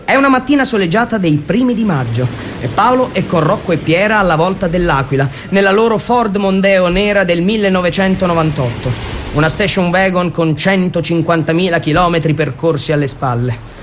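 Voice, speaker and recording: A man performing a theatrical narrative monologue for radio, with a dramatic, irreverent delivery and emphatic pauses. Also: high-pitched, lively intonation, a little hiss or hum. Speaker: stage storyteller and actor.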